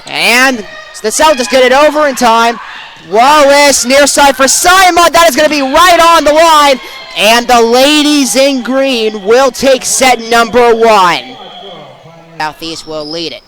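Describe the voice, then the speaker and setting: A young man calling live sports play-by-play in short, punchy, excitable phrases. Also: very high voice, slow pace, poor audio. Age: young adult. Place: at sports venues, live during games.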